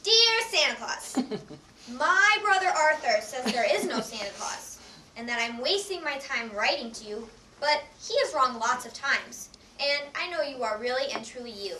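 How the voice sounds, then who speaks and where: Woman playing a high-pitched sweet little girl. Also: animated, measured pace, bright, forceful tone, full character voice. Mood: earnest, hopeful. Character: trusting sweet little girl writing to Santa. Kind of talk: staged readers theatre. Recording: echoey room, distant mic, faint noise.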